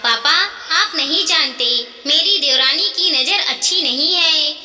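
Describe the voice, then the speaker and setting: A woman's voice: high-pitched, measured pace, sharp tone, exaggerated voice. A woman storyteller voicing an indignant, insistent young married woman in a moral story. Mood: insistent, aggrieved. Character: accusing, self-righteous, tearful young married daughter-in-law. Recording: echoey room with long reverb.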